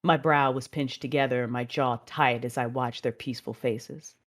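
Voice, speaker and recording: Grieving female Jedi narrator played by a woman, very low register, measured and emotive, audio drama with faint score. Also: steady tone, faint music behind. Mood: wistful. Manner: emotive, measured storytelling with anguished dialogue. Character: grieving female Jedi, mother, narrating her own tragedy.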